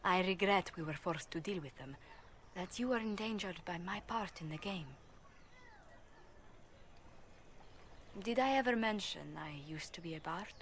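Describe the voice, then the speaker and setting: An actress playing a gentle, earnest noblewoman ambassador in a video game, speaking politely and formally with careful wording. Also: fairly low pitch, a little fast, a little hiss or hum. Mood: composed, relieved. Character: poised, courteous noblewoman ambassador, former bard, gentle and earnest. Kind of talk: video game cutscene acting.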